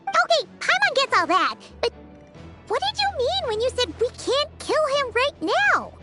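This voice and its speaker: A woman's voice: very high voice, sing-song, measured pace, full character voice. A woman voicing a small, childlike fairy companion in a video game, perky and blunt. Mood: puzzled. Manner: speaks of self in third person. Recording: loud game music underneath.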